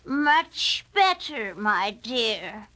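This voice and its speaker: A woman plays a sly storybook wolf, a predator who talks sweetly. The words are stretched slowly and coaxingly, with a bright, forceful edge. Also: on the high side, big pitch swings, heavily acted. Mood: falsely sweet. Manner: drawn-out, coaxing delivery.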